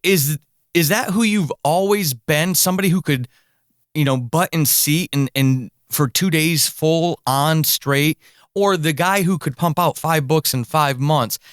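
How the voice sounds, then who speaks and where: A man's voice: on the high side, a little slow, no echo, clean studio sound. A man hosting a podcast interview, speaking in an effusive, emphatic, enthusiastic way.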